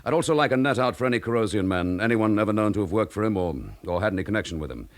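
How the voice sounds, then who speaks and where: An actor with a British accent playing a stern, weary, dutiful chief inspector in a radio drama, clipped and authoritative. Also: male voice, on the low side, rapid-fire, close to an everyday voice. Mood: brisk, harried.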